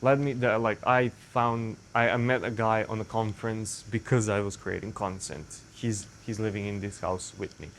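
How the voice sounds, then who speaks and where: Young Serbian man, English second language, low-pitched, casual rambling vlogger with faint background noise. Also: steady tone, moderate pace, hardly any pauses. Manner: rambling, self-correcting, frequent fillers. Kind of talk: casual monologue to camera.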